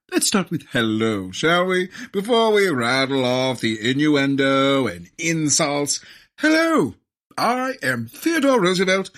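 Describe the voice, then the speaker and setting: A man's voice: a little high, a bit bright, full character voice. A man playing a jolly, pompous police commissioner and adventurer in a comedic audio drama, exclaiming grandly. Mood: pompous cheer.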